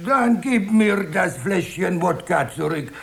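Creaky, drawling old-time drunkard courtier. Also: male voice, sits high, animated, takes it slowly, fairly bright tone, heavily acted. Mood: wheedling. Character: wheedling vodka-loving drunkard royal councillor. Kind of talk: children's radio play acting.

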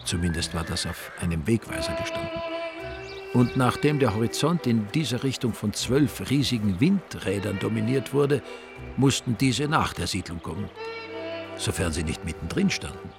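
A man's voice: lowish voice, sing-song, normal speed, gravelly, music in the background. A man narrating a radio drama in a dry, ironic, literary style. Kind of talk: scripted, wry literary narration.

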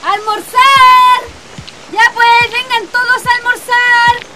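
A woman's voice: extremely high pitch, flat delivery, takes it slowly, heavy background noise. A woman playing a firm but easygoing middle-aged mother with a Chilean accent. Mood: calling out.

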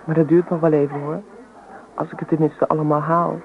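Tinny radio recording, pert young hairdresser. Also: female voice, low-pitched, level tone, brisk, slight background noise. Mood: chatty, open. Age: young adult. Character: chatty, pert young female hairdresser on holiday-island job. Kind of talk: Dutch radio drama acting. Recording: tinny narrow-band old radio recording.

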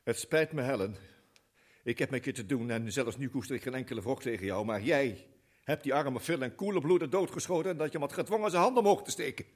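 A smooth, evasive man with something to hide, played by a man in an old radio play. His voice is somewhat husky and lowish, courteous on the surface and quick to stammer when cornered. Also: natural voice. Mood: indignant. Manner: polite then flustered, stammering. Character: smooth-talking man hiding guilt, defensive. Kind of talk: radio drama acting.

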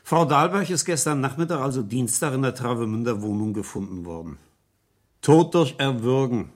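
An actor playing a calm, probing homicide inspector in a 1970s German radio crime play, speaking in a matter-of-fact way. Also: male voice, mid-range voice, moderate pace, close to an everyday voice.

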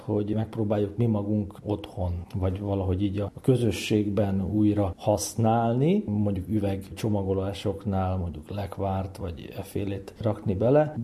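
Male expert, deep-leaning and very soft-edged tone, explanatory and opinionated interview delivery. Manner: conversational, argumentative. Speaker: university lecturer, expert interviewee.

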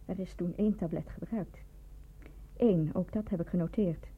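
Husky, bitter, evasive woman, muffled recording. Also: fairly low pitch, normal speed. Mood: subdued, bitter. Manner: dramatic, defensive, brooding. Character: bitter, evasive woman under questioning about a poisoning. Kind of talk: Dutch radio play acting. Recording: dull, lo-fi radio play recording.

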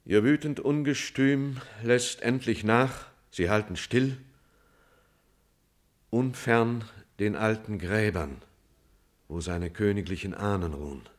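Solemn, slow-speaking tragic messenger. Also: male voice, lowish voice. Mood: grieving, hushed. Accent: standard German stage diction. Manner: solemn, declamatory, drawn-out dramatic phrasing. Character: grief-stricken male messenger, loyal friend reporting a hero's death. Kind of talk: classical German verse tragedy, declaimed radio play monologue.

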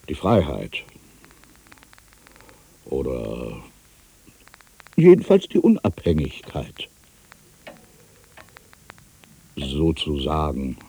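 Casting: proud, disillusioned old writer; very dark, husky voice, widely swinging intonation, theatrical monologue delivery. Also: male voice, sits low, no echo, full character voice. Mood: pompous, musing. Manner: brooding, self-questioning, swinging from mutters to outbursts. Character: ageing solitary male writer, proud, wry, disillusioned. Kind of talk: literary dramatic monologue, theatrical reading.

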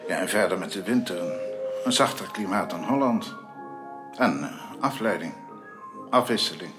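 A man playing a grave, reassuring physician in a Dutch radio drama, formal and measured with hesitant pauses. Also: low voice, expressive, loud music behind the voice. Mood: grave, gentle.

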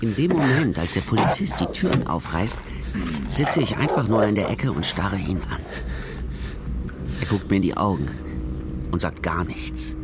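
A man's voice: on the low side, lively intonation, normal speed, long pauses, very noisy. A man playing an anxious young man on the run in a crime radio drama, speaking in a tense, confiding way. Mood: tense, brooding. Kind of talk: first-person crime radio drama acting. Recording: loud music behind the voice.

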